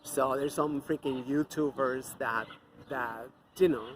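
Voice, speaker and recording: A man's voice: mid-range voice, steady tone, slow, slight background noise. Angry man ranting outdoors. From Mexico. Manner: angry, profane, scornful. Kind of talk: off-the-cuff ranting monologue.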